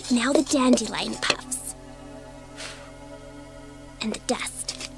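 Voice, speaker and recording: A woman's voice: a little high, drawn-out words, music in the background. A woman playing an eager, impulsive, breathless schoolgirl in a dramatised children's audiobook. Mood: hushed, secretive. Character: bored, impulsive, excitable schoolgirl dabbling in magic.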